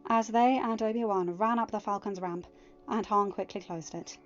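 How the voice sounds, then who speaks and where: A woman narrating a fan story in a steady reading voice, then chatting casually to listeners. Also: medium pitch, normal speed, muffled recording, faint music behind. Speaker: fanfiction audiobook reader.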